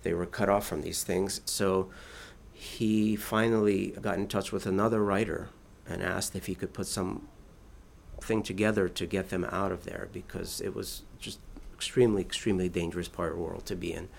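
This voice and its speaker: A man's voice: low voice, level tone, moderate pace, gravelly, a little hiss or hum. A man, a translator, answering interview questions thoughtfully, often restarting his sentences. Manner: thoughtful, with frequent restarts and fillers.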